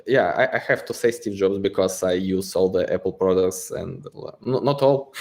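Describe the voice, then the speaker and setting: A man's voice: deep voice, normal speed, creaky, a little mellow. A man, a guest answering interview questions, hesitating and searching for words with many fillers. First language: Russian. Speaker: guest, exchange co-founder.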